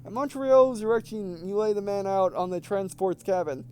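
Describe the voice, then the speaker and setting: High-pitched man reading game text aloud. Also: moderate pace, darkish tone, clear, resonant tone. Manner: stumbles over words and rereads phrases. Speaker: gaming video host. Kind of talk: let's-play reading of visual novel text aloud with casual commentary.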